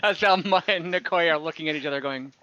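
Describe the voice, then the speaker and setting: Bright, dry-sounding male game master. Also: a little high, fast, no echo, dull audio. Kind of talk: casual narration and refereeing of a tabletop game.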